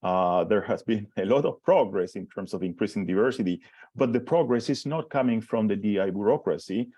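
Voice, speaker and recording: A man's voice: lowish voice, a little fast, dark tone, slightly rough, no room sound. A man in his forties from Chile with a Chilean Spanish accent, giving a conference talk as a professor, hesitant with many restarts and fillers. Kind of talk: conference presentation.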